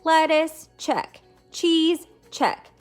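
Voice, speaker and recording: A woman's voice: high-pitched, extremely slow, soft background music. A woman hosting a read-aloud lesson for young children, speaking to them directly in simple words, as a teacher reading a picture book. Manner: addresses children directly with simple explanations and questions.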